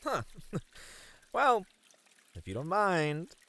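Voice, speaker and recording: A man's voice: sits high, wildly swooping pitch, extremely slow, sharp tone, slight background noise, exaggerated voice. A man playing a friendly, easily flustered bunny man in an ASMR roleplay, earnest and a little hesitant. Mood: shy, easygoing. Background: pouring, trickling water.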